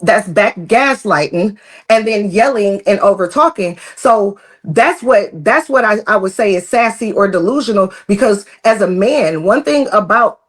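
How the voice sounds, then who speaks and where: A woman's voice: medium pitch, expressive, moderate pace. A woman on a live podcast panel, arguing assertively and repeating herself for emphasis. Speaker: debate panelist. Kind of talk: heated debate, then explaining her view.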